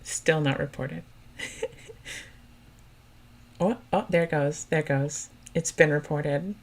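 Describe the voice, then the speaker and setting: Low-voiced woman with a soothing, chatty manner, co-hosting a casual game stream. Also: slow pace. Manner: soft, chatty, laughs often. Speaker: stream commentator.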